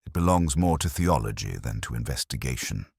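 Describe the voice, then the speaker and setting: A man playing a melancholy, literary police detective, recounting a case in a measured, solemn, reflective way as scripted audio mystery narration. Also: a bass voice, expressive, gravelly, slightly rough, no echo, studio quality, heavily acted. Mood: brooding, reflective.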